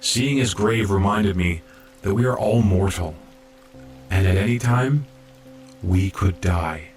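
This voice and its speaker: A man's voice: deep voice, takes it slowly, faint music behind. A man playing an earnest, dedicated young robot medic in an audio drama, reflective and polite. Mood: somber, reflective. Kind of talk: audio drama narration and dialogue.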